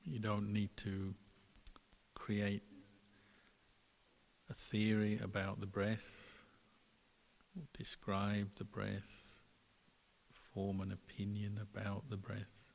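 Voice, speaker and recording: A man, a Buddhist meditation teacher, giving guided meditation instruction in a calm, unhurried manner with gentle pauses between phrases. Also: lowish voice, gravelly. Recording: long hall reverb.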